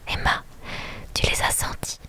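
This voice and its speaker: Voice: measured pace, bright, forceful tone. A reader whispering a dazzled young woman friend. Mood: enthralled. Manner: whispered throughout.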